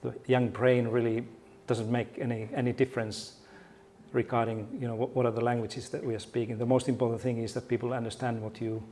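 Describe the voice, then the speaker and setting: A man's voice: mid-range voice, flat delivery, brisk, warm tone, a little hiss or hum. Finnish guy doing a keynote at a conference, middle-aged, chatty and jokey, restarts his sentences a lot. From Finland. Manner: friendly, joking with the audience, many restarts. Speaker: keynote speaker. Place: conference hall.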